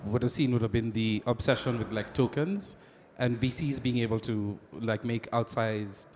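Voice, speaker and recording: A man's voice: medium pitch, moderate pace, darkish tone, slight background noise. A man answering on a conference panel, hesitant and full of ums. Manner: hesitant, filler-heavy. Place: large conference hall. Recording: roomy echoey conference hall.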